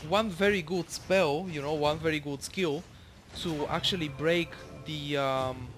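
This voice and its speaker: A man's voice: a little high, a little slow, fairly bright tone, faint music behind. A young man from Bulgaria with a Bulgarian accent, casually commentating his own match, rambling with lots of fillers and the odd swear.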